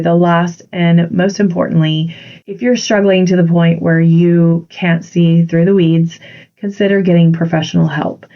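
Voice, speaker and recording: A woman with a congested voice hosting a solo podcast, speaking earnestly and encouragingly as a confidence coach. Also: on the low side. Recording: dull lo-fi recording.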